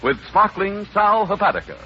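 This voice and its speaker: Animated American 1930s radio announcer, a man. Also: high-pitched, moderate pace, husky. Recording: muffled 1939 radio broadcast, faint static.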